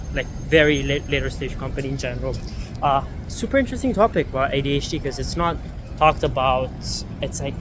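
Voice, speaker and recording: A young man from Ethiopia, a startup founder, talking casually to camera in a rambling way full of fillers and restarts. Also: a little high. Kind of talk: casual vlog talk to camera. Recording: city street noise behind.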